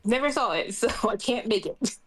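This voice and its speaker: A woman's voice: on the high side, lively intonation, moderate pace, low-quality recording. A woman playing an online tabletop game, describing her character in casual role-play chat.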